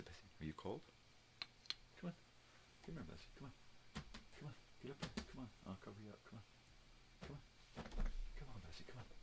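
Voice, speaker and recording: A man's voice: on the low side, creaky. An older man with a folksy, rambling, salty way of talking, chatting casually as the host of a personal video log at home. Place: room at home. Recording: noticeable background noise.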